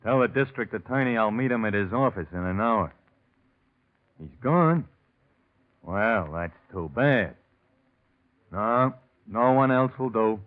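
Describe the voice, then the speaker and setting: An actor in a vintage radio drama playing a shifty, insistent tipster who talks in a curt, deliberate way. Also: male voice, lowish voice, lively intonation. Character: shifty anonymous male tipster, insistent and evasive. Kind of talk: 1940s radio acting. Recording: muffled, tinny old radio recording.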